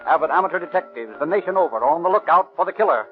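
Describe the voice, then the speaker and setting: An American actor playing an urgent narrator of a 1930s police radio drama, with a clipped bulletin delivery. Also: male voice, a little high, expressive, racing, close to an everyday voice. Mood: urgent, dramatic. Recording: tinny 1930s radio recording with music.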